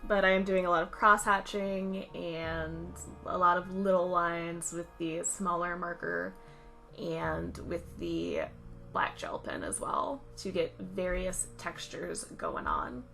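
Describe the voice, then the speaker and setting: A woman talking over a drawing video, slightly raspy from a cold, chatty and self-critical as she explains each step. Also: lowish voice, takes it slowly, bright, forceful tone, soft background music. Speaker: art channel host. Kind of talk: voice-over narration of a drawing process.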